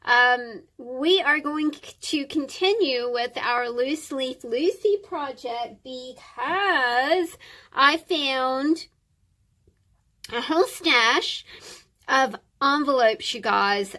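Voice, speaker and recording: Woman, higher-pitched, very slow pace, croaky and congested, friendly casual show-and-tell chat, craft host. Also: a bit bright. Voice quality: scratchy, croaky; stuffy nose. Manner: friendly, addresses viewers as friends, unhurried. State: scratchy throat and congestion.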